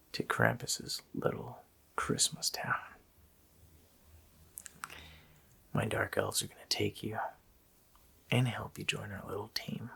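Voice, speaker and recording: A man voicing an evil, mocking child-snatching Christmas demon in a slow, menacing, breathy rasp for an ASMR roleplay. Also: low-pitched, bright, forceful tone, exaggerated voice. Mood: sly, commanding. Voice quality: breathy, gravelly rasping whisper.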